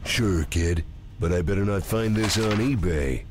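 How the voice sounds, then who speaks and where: An actor playing a cocky, macho action hero in a video game, boastful and deadpan. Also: male voice, low voice, a little slow, noisy background. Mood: cocky, gruff.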